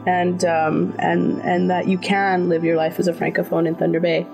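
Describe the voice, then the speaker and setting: A woman's voice: lowish voice, little pitch movement, normal speed, vocal fry, loud music behind the voice, poor audio. A middle-aged woman whose first language is Finnish, answering interview questions in a thoughtful way, with many fillers and restarts.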